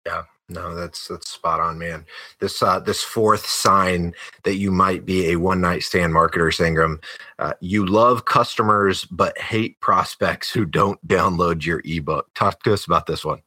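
A man's voice: sits low, unhurried, pushed and bright, husky, no room sound. A man hosting a podcast in a casual interview style, introducing points and prompting his guest. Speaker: podcast host.

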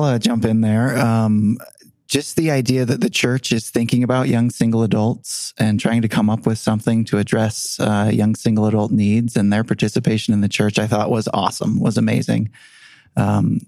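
A man's voice: fairly low pitch, a little mellow, dry recording. A middle-aged man serving as a bishop, speaking earnestly with frequent hesitant fillers. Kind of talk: casual discussion.

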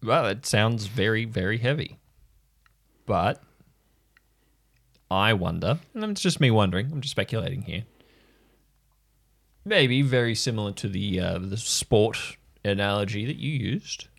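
A man's voice: lowish voice, animated, measured pace, gravelly, long pauses, fairly bright tone, exaggerated voice. A man playing a kind, thoughtful listener in an improvised audio drama, feeling out his words hesitantly. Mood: tentative, reassuring. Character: gentle counselling acquaintance, slightly awkward, thoughtful, kind.

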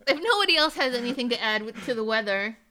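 A woman's voice: on the high side, animated, fast talker, a crowd in the background. A woman hosting a podcast, chatting casually and comedically as she steers the segments. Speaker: podcast host.

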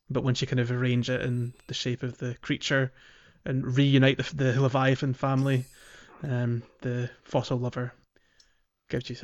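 A man's voice: medium pitch, muffled recording. A man hosting a casual video game podcast, explaining things in a hesitant, rambling way. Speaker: podcast host.

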